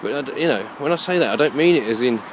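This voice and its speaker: A man giving a rambling, halting monologue as he walks outdoors, musing and questioning himself. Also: medium pitch, lively intonation, slight background noise. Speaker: vlogger. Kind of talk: rambling walk-and-talk monologue. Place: outdoors, walking along a muddy alleyway.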